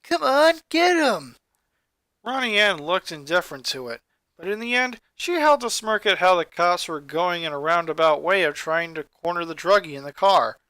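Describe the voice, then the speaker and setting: A man reading a story aloud as scripted narration, with dramatic, expressive delivery. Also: fairly high pitch, normal speed, no room sound. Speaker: narrator, fan fiction reader.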